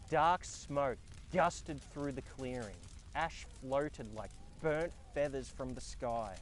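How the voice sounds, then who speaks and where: An Australian man narrating a children's storybook in a dramatic, expressive way. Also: mid-range voice. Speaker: storyteller and host. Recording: background ambience and effects noise. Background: sound effects and ambience.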